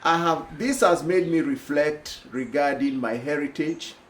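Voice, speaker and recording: A middle-aged Kenyan man with a Kenyan accent giving thoughtful personal commentary, pausing often with "uh". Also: on the high side, fairly bright tone, a little hiss or hum. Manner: thoughtful, reflective, many pauses with "uh".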